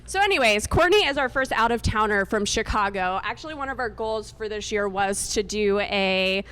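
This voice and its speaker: A woman's voice: medium pitch, expressive, moderate pace, fairly bright tone, clean tone. A woman hosting an event in a chatty, informal, joking way, speaking up into a microphone. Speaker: event host and co-founder introducing a speaker. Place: meetup event room with air conditioning.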